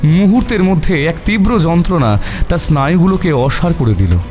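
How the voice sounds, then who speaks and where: A man's voice: a little high, animated, brisk, a little mellow. A man narrating a scripted horror story in a dramatic storytelling style. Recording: long reverb, background music bed.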